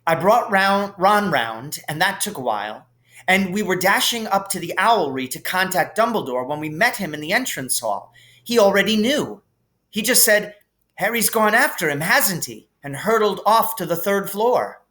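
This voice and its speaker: A man's voice: high-pitched, sing-song, moderate pace, sharp tone, full character voice. A man voicing an anxious, emphatic schoolgirl witch with an earnest manner. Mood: eager.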